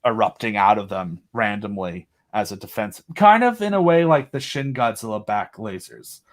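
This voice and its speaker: A man's voice: fairly low pitch, lively intonation, moderate pace. A man as an interview guest, eagerly explaining his own creative project in casual, rambling chat. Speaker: guest, creator of an audio drama.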